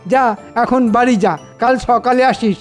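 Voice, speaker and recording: Greedy royal minister: high-pitched, conniving cartoon voice, faint music underneath. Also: male voice, unhurried. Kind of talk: comic cartoon acting.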